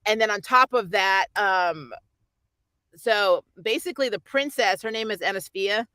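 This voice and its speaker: A woman's voice: medium pitch, moderate pace, pushed and bright, dry recording. A woman hosting a pop culture show, chatting casually about shows in an informal, opinionated, rambling way. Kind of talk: casual chat, reviewing shows.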